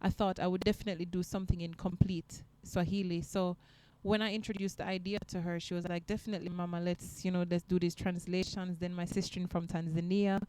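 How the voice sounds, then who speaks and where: A woman who sings, talking in a casual interview in a soft, reflective and earnest way. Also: lowish voice, level tone, brisk, hardly any pauses, a little hiss or hum, rough recording. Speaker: guest singer.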